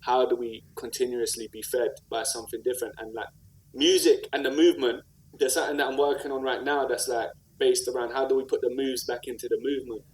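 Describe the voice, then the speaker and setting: A man with a South London accent talks at a middling pitch in a podcast interview. He is earnest and reflective, using street slang and checking in with the listener as he tells his story. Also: little pitch movement, quick pace, rough recording. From South London, England. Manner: earnest, slangy, with repeated "like" and "you know what I mean". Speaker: podcast guest, rapper and community activist.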